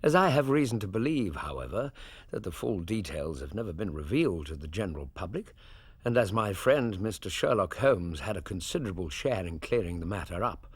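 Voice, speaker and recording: An actor with an English accent playing a genteel Victorian doctor in a radio drama, measured, literate and courteous. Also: male voice, low voice, breathy edge, no room sound, full character voice. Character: genteel Victorian doctor, wry loyal companion and storyteller. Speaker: actor and narrator. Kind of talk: period radio drama narration and dialogue.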